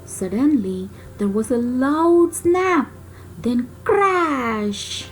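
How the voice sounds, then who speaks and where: A woman reading a children's story aloud in an expressive way, with dramatic pauses and emphasis. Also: high-pitched, faint music behind.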